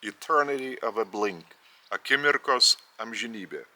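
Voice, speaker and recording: A man with a Lithuanian accent, whose first language is Lithuanian, giving a spiritual teaching in a measured way, often repeating and restarting phrases. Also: mid-range voice, sharp tone. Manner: measured, with repetitions and careful restarts. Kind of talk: slow spoken teaching.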